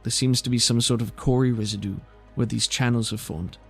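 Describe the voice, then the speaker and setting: A measured, puzzled woman examiner from a tabletop game, acted out by a man. The delivery is halting and formal, with some vocal fry. Music sits softly behind. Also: mid-range voice, fairly even intonation, natural voice. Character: measured, puzzled female medical examiner reporting autopsy findings.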